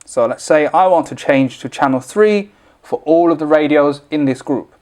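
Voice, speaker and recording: A man's voice: a little high, moderate pace, darkish tone. A man presenting an informal product tutorial, explaining each step in a plain, instructional way.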